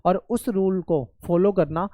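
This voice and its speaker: Mellow-voiced patient lecture teacher. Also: medium pitch, measured pace, dry recording, studio quality. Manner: patient, repetitive explaining with frequent "okay" check-ins; mixes English terms into the explanation. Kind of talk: classroom lecture explaining a textbook chapter.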